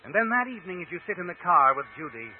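An actor playing an ominous, knowing narrator who taunts like a conscience, insinuating and deliberate, in 1940s radio suspense style. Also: male voice, sits high, sing-song, a little hiss or hum. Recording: tinny old radio recording, music underneath.

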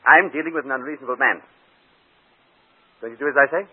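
A man's voice: medium pitch, fast, slightly rough, natural voice. Man acting a stern company boss, tinny radio. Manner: firm, commanding, scolding. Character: stern, authoritative male ballet company manager. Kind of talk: old-time radio drama acting. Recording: tinny band-limited old radio recording.

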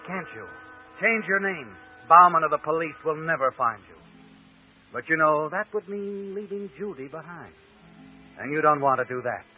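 An actor playing an ominous, knowing narrator who taunts like a conscience, insinuating and deliberate, in 1940s radio suspense style. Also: male voice, a little high, natural voice. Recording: tinny old radio recording, music underneath.

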